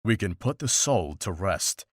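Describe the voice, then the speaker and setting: A man playing a stern, pragmatic older monster hunter in a fantasy visual novel, speaking in a formal, measured, unsentimental way. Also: low-pitched, sing-song, no room sound, clean studio sound, heavily acted. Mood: measured, instructive.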